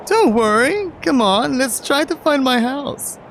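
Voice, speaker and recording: A big, cheerful young man bursts with eager energy as he shows off the sights, voiced by a man. His voice sits well above a natural speaking register and swings up and down with excitement. He sounds bubbly and keen to please. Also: very high voice, unhurried, slight background noise, voice pushed up, full character voice. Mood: upbeat.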